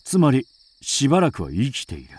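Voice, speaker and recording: An actor playing a mysterious, fearless undead avenger in a radio drama, speaking in a grim, theatrical, archaic manner. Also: male voice, medium pitch, huge pitch swings, brisk, darkish tone, husky, no echo. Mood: grave, resolute. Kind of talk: Japanese radio drama acting.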